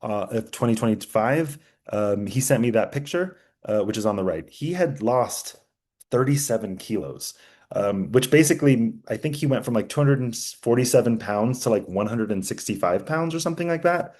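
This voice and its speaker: A young man teaching a class, talking earnestly with many fillers, restarts and pauses. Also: mid-range voice, lively intonation, a little mellow. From United States. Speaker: journalism instructor. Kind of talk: class lecture with slides.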